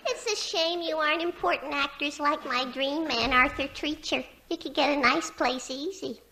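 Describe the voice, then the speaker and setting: Swooning starstruck woman, very high bright voice, old radio comedy. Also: normal speed. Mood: dreamy, gushing. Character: gushing, starstruck woman swooning over her idol. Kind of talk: 1940s radio comedy acting.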